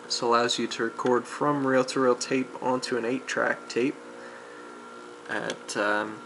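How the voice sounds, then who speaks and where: A man giving a casual, unscripted demonstration of vintage audio gear, with a gravelly voice and a rambling, halting way of explaining. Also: medium pitch, steady tone. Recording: amateur camera recording with background noise.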